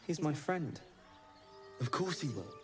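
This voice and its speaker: A man playing a solemn, loyal talking animal in a fantasy game, speaking in halting, terse, earnest phrases. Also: fairly high pitch, expressive. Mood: solemn, wary. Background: music.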